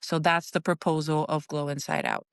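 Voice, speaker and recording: Lowish-voiced woman, Portuguese native speaker. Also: huge pitch swings, normal speed, creaky, clear, resonant tone, dry recording, clean studio sound. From Brazil. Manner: upbeat, encouraging, with frequent ums and laughs. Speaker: guest wellness coach. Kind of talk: casual podcast interview.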